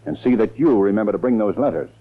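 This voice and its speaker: A man's voice: fairly low pitch, lively intonation, quick pace, a little hiss or hum, lightly acted. An actor playing an authoritative, scheming male lawyer in a 1940s radio drama, speaking firmly and insistently. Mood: cold insistence. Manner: firm, insistent, commanding. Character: scheming, authoritative lawyer urging and pressuring others. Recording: muffled, thin 1940s radio recording.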